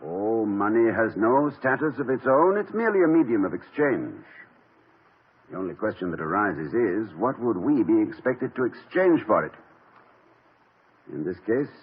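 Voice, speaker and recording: An actor playing an erudite, dignified college president who speaks formally and with dry wit in a 1950s radio drama. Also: male voice, on the low side, sing-song, husky. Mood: dry, wry. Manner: formal, measured, dryly witty. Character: erudite, dignified, wry college president, middle-aged man. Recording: dull lo-fi old radio broadcast.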